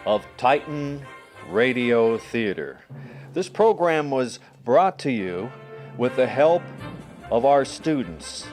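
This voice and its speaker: Male radio host, medium pitch, unhurried slow pace, scripted announcing, music behind. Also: darkish tone. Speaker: host and faculty advisor. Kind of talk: scripted radio sign-off narration.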